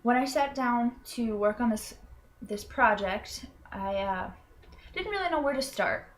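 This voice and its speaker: Young woman, college student, hesitant, lots of ums, mid-pitched voice. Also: a little hiss or hum. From Florida. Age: young adult. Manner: hesitant, full of ums and restarts; earnest and self-conscious. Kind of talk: personal explanatory video.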